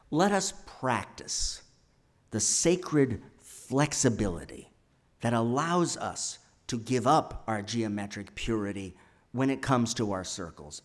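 Male, 60s, church sermon read at a lectern. Unhurried, measured delivery with emphatic pauses, mid-range pitch. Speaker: speaker presenting a sermon at a church. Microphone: gooseneck lectern microphone.

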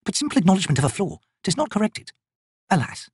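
A man voicing a wary, wry wizard in a fantasy audiobook, musing expressively in a raised pitch. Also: very animated, fast talker, husky, no echo, clean studio sound, heavily acted. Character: wary, wry male wizard, quick-witted.

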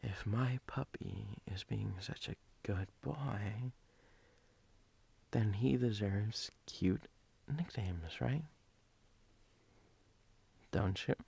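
Man playing a sleepy boyfriend, gravelly and husky. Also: on the low side, a little mellow, full character voice. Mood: doting, teasing. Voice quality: husky, breathy, gravelly, often whispered. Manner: slow, halting, intimate teasing. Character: sleepy, teasing, doting boyfriend. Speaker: ASMR role-play performer. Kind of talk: ASMR boyfriend role-play.

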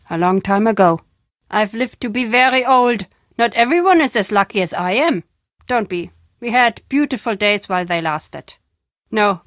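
An actress playing a very old, playful, wistful woman storyteller in a video game, speaking theatrically in unhurried phrases with dramatic pauses. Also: on the high side, a bit bright, dry recording, clean studio sound. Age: older. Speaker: game character.

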